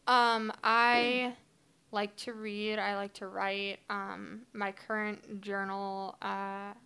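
Bright-voiced chatty young woman. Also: medium pitch, level tone, takes it slowly, vocal fry, smooth. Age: 20s. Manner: chatty, self-deprecating, many ums. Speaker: podcast guest. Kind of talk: casual chat.

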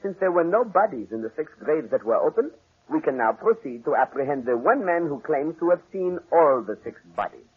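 An actor playing a clever, patient Belgian detective with a French accent and precise, formal phrasing, in 1940s radio mystery style. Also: male voice, on the high side, animated, a little fast, breathy edge. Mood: explaining, knowing. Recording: muffled, band-limited 1940s radio recording.

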